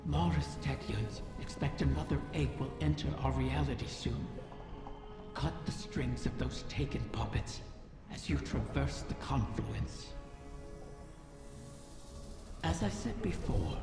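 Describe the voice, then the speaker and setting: An actor playing an ancient, cunning dragon-like creature in a video game, tempting and vengeful, with a silky, menacing, theatrical delivery. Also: male voice, mid-range voice, lively intonation, a little slow, vocal fry. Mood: brooding menace. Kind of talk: scripted video game dialogue. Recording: cavernous long reverb, music behind. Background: game music.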